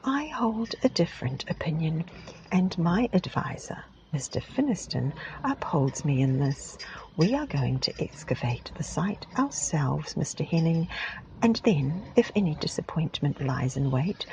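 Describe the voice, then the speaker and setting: A woman's voice: low-pitched, very animated, a little mellow, a little hiss or hum, full character voice, rough recording. Woman whispering as a firm farmer. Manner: whispered, measured. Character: firm, determined middle-aged male farmer.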